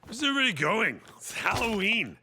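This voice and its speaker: A man voicing an excitable, naive and upbeat party-goer in an animated sitcom. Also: high-pitched, brisk, exaggerated voice. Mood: excited.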